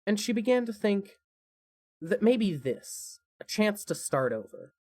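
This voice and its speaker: A narrator reading a scripted fan fiction podcast in an expressive, measured storytelling manner. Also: mid-range voice.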